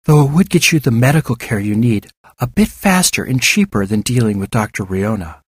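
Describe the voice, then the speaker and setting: A man's voice: medium pitch, sing-song, brisk, husky, no room sound, studio quality. A man voicing a cautious male doctor who speaks formally and politely in an audiobook story. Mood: calm caution.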